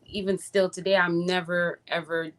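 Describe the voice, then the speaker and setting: Low-voiced relaxed woman from Trinidad. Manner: relaxed, laughs easily, "um" pauses. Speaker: guest, recording artist. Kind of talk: casual interview answers.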